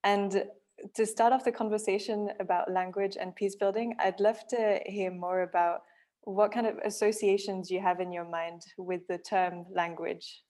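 A woman co-hosting a podcast, speaking in a measured, polite way as she introduces topics and asks questions. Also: mid-range voice, fairly even intonation, darkish tone. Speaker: podcast co-host.

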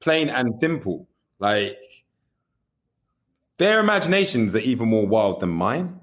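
A man's voice: mid-range voice, sing-song, measured pace. A man being interviewed about directing music videos, talking candidly and animatedly, often asking "you know what I mean" and swearing casually.

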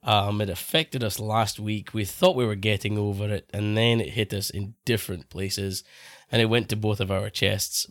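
Sick, hoarse-voiced man on a podcast. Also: fairly low pitch, dry recording, studio quality. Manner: joking, laughs often. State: sick, losing his voice. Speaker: podcast co-host. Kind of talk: casual chat.

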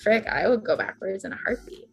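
A woman in her twenties chatting as a podcast guest in an easy, rambling way, her sentences full of "like" fillers. Her pitch sits in a middle range. Faint music plays under the talk. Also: expressive, fairly quick, slightly rough. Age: 20s. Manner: chatty, rambling, heavy use of "like". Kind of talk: casual podcast chat.

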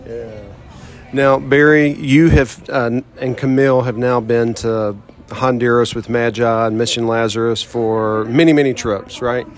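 A man's voice: medium pitch, steady tone, measured pace, faint music behind. A man hosting an interview podcast, asking friendly, conversational questions. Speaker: podcast host.

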